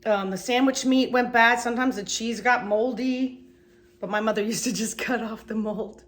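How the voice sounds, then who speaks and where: Stuffy-nosed middle-aged woman rambling at home, kinda high voice, jokes about herself. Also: a bit bright. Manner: chatty, rambling, self-deprecating. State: congested with a cold. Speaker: vlogger. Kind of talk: casual chatty cleaning vlog. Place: home kitchen.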